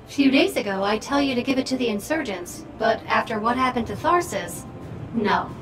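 A woman's voice: on the high side, lively intonation, normal speed, close to an everyday voice. A woman voicing a loyal, anxious and wry companion combat drone in a video game, alert and conversational. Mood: uncertain, wry. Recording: distant voice under loud game music.

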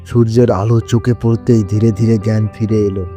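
A man's voice: fairly low pitch, flat delivery, a little fast, dark tone, soft background music. A man narrating a scripted horror story in the first person.

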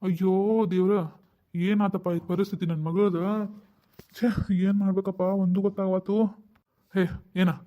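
A man's voice: high-pitched, level tone, moderate pace, long pauses, muffled recording, natural voice. A middle-aged man with a North Karnataka Kannada accent, playing an exasperated father who bluntly berates his wife with rhetorical questions in a family drama skit.

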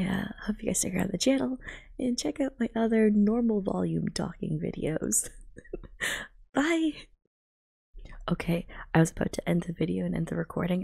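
A young woman giving gushing, casual reaction commentary in a hushed, half-whispered ASMR manner, close to the microphone. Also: on the low side, vocal fry, slightly rough. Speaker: VTuber reacting to a game trailer.

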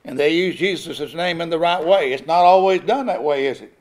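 Older man, high-pitched preacher, builds emphasis, chuckles now and then, pulpit mic. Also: a little fast, darkish tone. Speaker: pastor. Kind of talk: sermon. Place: church sanctuary at a pulpit. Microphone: pulpit microphone.

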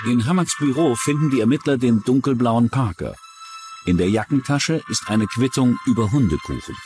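A man's voice: medium pitch, lively intonation, normal speed. A man reading scripted true-crime documentary narration. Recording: music bed under the narration. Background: background music.

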